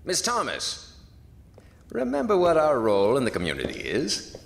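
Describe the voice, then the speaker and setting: An actor playing a genial small-town library director in an audio horror drama, smooth-talking and chuckling. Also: male voice, on the high side, huge pitch swings, moderate pace, exaggerated voice. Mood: affable.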